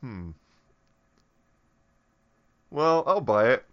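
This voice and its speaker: A man's voice: mid-range voice, sing-song, extremely slow, lo-fi sound. A man co-hosting a podcast in a casual comedic chat, stumbling and restarting, laughing at his own jokes over a remote link. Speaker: podcast co-host. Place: remote call across the Atlantic.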